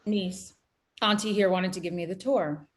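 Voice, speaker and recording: A woman's voice: mid-range voice, fairly even intonation, moderate pace, lightly acted. A woman playing a shrewd, casual young sidekick at a drama table read. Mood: dry, probing.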